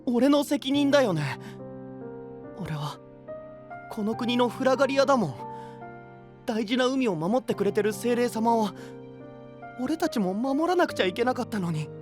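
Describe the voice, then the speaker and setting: 海の国を守る若い青年を演じる男性声優。柔らかく内省的で、真摯な話し方。. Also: male voice, very high-pitched, animated, normal speed, long pauses, over music. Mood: resolute. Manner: soft, introspective, earnest. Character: gentle young male prince of a sea kingdom. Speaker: voice actor. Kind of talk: anime voice drama.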